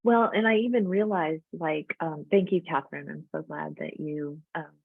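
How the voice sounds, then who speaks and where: A woman's voice: low-pitched, normal speed, creaky, breathy edge, dry recording. A woman coaching host running a casual webinar, talking in loose, chatty run-on sentences over a video call. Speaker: life coach hosting a webinar. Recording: thin phone-like audio, dry.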